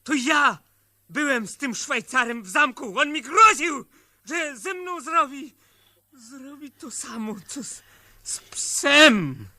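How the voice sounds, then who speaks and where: A man's voice: very high-pitched, animated, slow pace, bright, forceful tone, dry recording, exaggerated voice. An actor playing a frightened, evasive man in a 1970s radio play, agitated, accusing and stammering. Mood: agitated, pleading.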